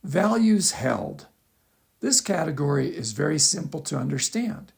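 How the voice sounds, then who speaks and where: A man with a gravelly, creaky voice reads his own article as a measured, explanatory lecture with occasional asides. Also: on the high side. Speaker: author reading own article. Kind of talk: scripted lecture-style narration with asides.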